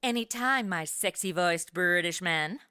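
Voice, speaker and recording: A woman hosting a podcast in a lively, scripted but conversational style. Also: lowish voice, measured pace, smooth, no echo, studio quality. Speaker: podcast host.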